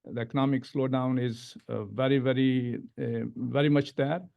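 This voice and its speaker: A middle-aged man with an Indian accent giving a panel presentation as an academic, halting and repeating words as he stresses each new point. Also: mid-range voice, steady tone, vocal fry, dull audio. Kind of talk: panel presentation, semi-scripted lecture. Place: medium-sized indoor conference room. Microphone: gooseneck table microphone.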